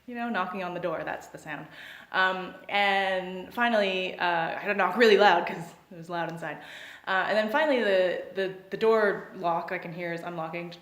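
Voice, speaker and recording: A woman's voice: on the low side, normal speed, fairly bright tone. A woman vlogger telling a funny story from her past in a casual way, hesitant and full of fillers, often laughing. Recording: echoey room.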